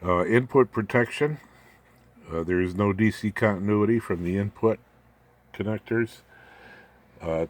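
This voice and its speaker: A man with a notably low-pitched voice walks through a homemade electronics project as a hobbyist would. His delivery is unscripted and informal, with folksy phrasing, joking asides and a rambling path through the technical details. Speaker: amateur radio hobbyist presenting his home-built project.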